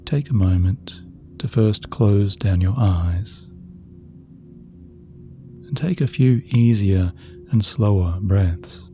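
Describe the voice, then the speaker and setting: Man, low pitch, very dark soft tone, slow soothing meditation narration, gentle measured delivery, relaxing music behind. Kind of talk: sleep hypnosis meditation, scripted narration. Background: relaxing background music.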